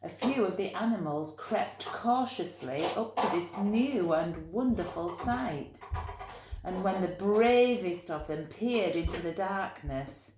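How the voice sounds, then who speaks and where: A woman reading a children's story expressively, with dramatic emphasis and drawn-out key words. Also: lowish voice, expressive, echoey room, noisy background.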